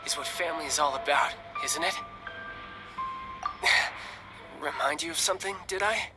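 Cocky young game warrior, fairly high voice, theatrical, teasing, measured, music behind. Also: male voice. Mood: solemn. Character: cocky, smooth young male warrior, devoted older brother. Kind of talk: English video game voice acting.

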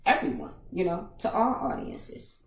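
A woman in her thirties with a naturally raspy voice, hosting a casual livestream podcast from a small home studio on a headset mic, chatting loosely with viewers in slang. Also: medium pitch, normal speed, roomy sound, lo-fi sound, background noise. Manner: laid-back, slangy, talks to viewers in chat. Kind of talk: casual livestream chat reading comments. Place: small home studio with foam panels, indoors. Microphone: over-ear headset mic.

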